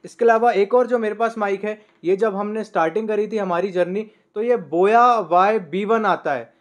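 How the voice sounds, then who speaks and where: A young man in his twenties gives a casual review of his vlogging gear, talking to the camera from a desk in a small room through a podcast mic on a boom arm and filling his pauses with "uh". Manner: relaxed, many "uh" fillers, shows each item.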